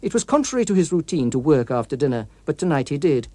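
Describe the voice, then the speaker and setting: A man's voice: a little high, sing-song, fast talker, mellow, no echo. A man with a British accent narrating a scripted story as a dramatic audiobook reader.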